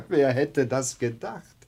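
An actor in a German radio play playing a highly strung, scheming young English gentleman with theatrical, well-spoken delivery. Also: lively intonation, drawn-out words, husky, no echo, heavily acted. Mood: elated.

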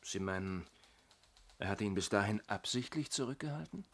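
Sharp, forceful police detective. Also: male voice, fairly low pitch, animated, moderate pace. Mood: weary, questioning. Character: keen, questioning male police detective subordinate. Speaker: actor in a radio drama. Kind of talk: 1970s German radio play acting.

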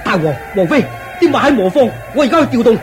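A high, flustered court chancellor. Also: male voice, fast. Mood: urgent. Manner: comic, excitable, fussing. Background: music.

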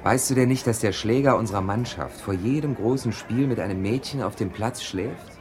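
An actor playing a scandalised Texan who lectures a newcomer in a radio drama. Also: male voice, fairly low pitch, a little fast, a crowd in the background, close to an everyday voice.

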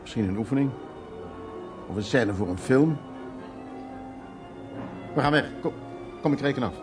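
A man's voice: medium pitch, lively intonation, normal speed, music in the background, lightly acted. An actor playing a troubled, wry and anxious man in a radio drama, musing aloud in hesitant, broken phrases. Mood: uneasy, agitated. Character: troubled man named Louis, recounting past, anxious, wry. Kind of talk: radio drama acting, intimate storytelling.

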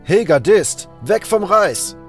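A man's voice: high-pitched, lively intonation, takes it slowly, pitched above the performer's own voice. A man voicing a harsh, bullying field overseer in a fantasy audio drama, barking threats over music. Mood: angry.

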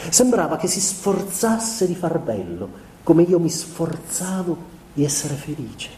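A male actor plays a gentle, tormented young husband in a radio theatre monologue, confessing as if in a letter. His voice is mellow and fairly high for a man, with solemn, measured, inward-looking phrasing. It is picked up from a distance in a reverberant room. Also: lightly acted. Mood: tender, regretful. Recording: roomy, distant mic, noisy ambience.

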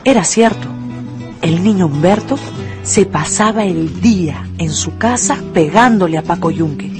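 A woman narrating a dramatised radio story in an expressive, deliberate, emphatic way. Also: on the low side, music in the background.

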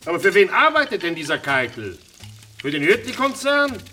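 An actor playing a puzzled chef turned detective in a radio drama, questioning urgently. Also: male voice, high voice, big pitch swings, moderate pace, bright, forceful tone, heavily acted. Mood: probing, urgent. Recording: clear frying and kitchen noise.

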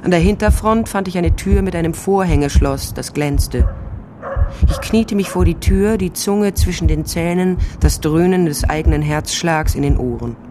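A woman's voice: lowish voice, moderate pace, noisy background, natural voice. An actress playing a tough, sly private investigator, narrating her case tersely and dryly in a crime radio drama. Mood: tense, terse.

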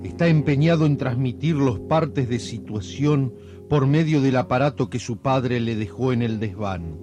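A man playing the wry, suggestive storyteller of a radio serial, with theatrical, measured scripted narration. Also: medium pitch, dull audio, over music, lightly acted. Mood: wry, dramatic.

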